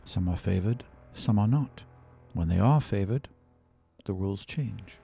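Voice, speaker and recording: A man playing a grave, guarded temple teacher, a woman who speaks in measured, terse, formal phrases in a tabletop roleplaying game. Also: lowish voice, animated, vocal fry, dark tone, slightly rough, soft background music, full character voice. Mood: grave, cryptic.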